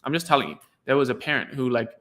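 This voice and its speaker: A man's voice: medium pitch, lively intonation, fast talker, fairly bright tone. A man whose first language is Cantonese, a speech therapist giving frank, rambling casual advice to parents, full of "like" fillers.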